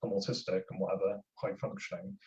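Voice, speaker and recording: A man chatting casually on a podcast in a hesitant, halting way. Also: deep voice, very animated, creaky, darkish tone. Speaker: podcast co-host. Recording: dull lo-fi audio.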